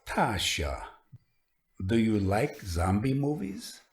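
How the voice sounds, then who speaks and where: A man's voice: on the low side, big pitch swings, slow. A man playing a captive doctor in an audio drama, speaking with grave, earnest urgency and careful deliberation. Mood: pleading. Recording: echoing hard-walled room sound.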